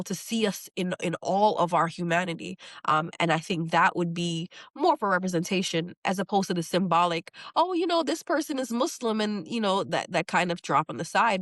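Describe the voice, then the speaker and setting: A woman's voice: on the low side, dry recording, studio quality. A middle-aged woman, a poet speaking in an interview, thoughtful and earnest, often restarting and repeating words as she thinks. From New York. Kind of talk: reflective interview conversation.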